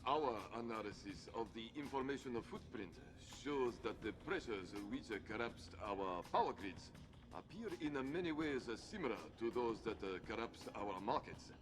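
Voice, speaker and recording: An actor playing a grave admiral who speaks in a halting way, full of pauses. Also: male voice, medium pitch, expressive, close to an everyday voice. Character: hesitant grave male admiral. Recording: game cutscene with music underneath.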